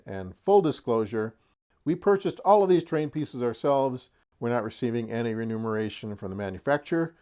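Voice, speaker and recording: A middle-aged man with a medium-pitched voice presents as a channel host. His delivery is casual and folksy, like someone showing off a hobby collection. He slips in dry, joking asides. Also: darkish tone. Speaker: host of a tabletop wargaming channel. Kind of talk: casual product showcase to camera.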